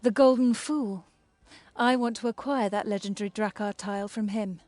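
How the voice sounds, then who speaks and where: Casting: actress for resolute fantasy-game heroine, businesslike, medium pitch, dry close sound. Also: female voice, normal speed, a little mellow, no room sound, lightly acted. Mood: determined, polite. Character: determined, businesslike young woman adventurer. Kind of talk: fantasy video game voice acting.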